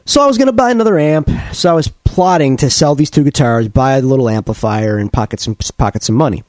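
A man co-hosting a podcast, still congested from a cold, rambling through animated stories with many restarts. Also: mid-range voice, fast, dry recording, clean studio sound. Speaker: podcast co-host. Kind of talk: casual chat.

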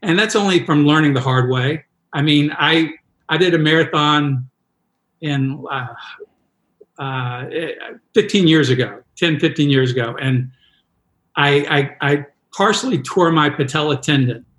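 A man's voice: on the high side, a little slow, a bit bright, echoey room. A man in his sixties, a triathlon coach, telling a self-deprecating story with many restarts in a podcast interview. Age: 60s.

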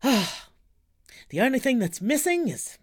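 A woman's voice: fairly high pitch, sing-song, slow, husky, heavily acted. A woman playing a doting, hopeful small restaurateur on Mars in an audio comedy, affectionate and theatrical. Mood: fond, excited. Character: doting, hopeful wife opening a Martian brisket joint.

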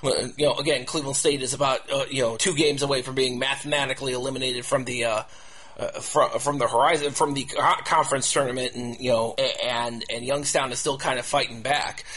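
A man's voice: mid-range voice, steady tone, fairly bright tone, low-quality recording. A man hosting a casual sports talk show, repeating himself and restarting phrases as he goes. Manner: halting, repetitive, restarts phrases over and over. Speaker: podcast host.

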